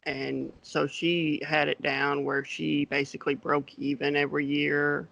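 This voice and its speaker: A man being interviewed as an accountant, talking in a relaxed, hesitant way with many fillers. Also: on the high side, measured pace, creaky, lo-fi sound. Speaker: accountant guest. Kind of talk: casual podcast interview.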